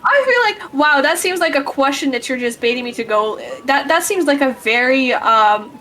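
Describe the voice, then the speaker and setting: A woman's voice: high voice, animated, moderate pace, pushed and bright. A woman chatting casually on a livestream as a guest, teasing and rambling, often stumbling over her words. She is a non-native English speaker. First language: not English. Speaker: guest streamer. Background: music.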